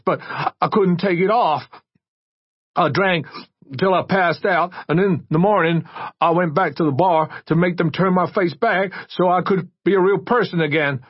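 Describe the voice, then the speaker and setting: A man's voice: medium pitch, breathy edge, dry recording, muffled recording, voice pushed up. A man voicing a drunken, despairing middle-aged father, slurring and tearfully pleading in self-pity.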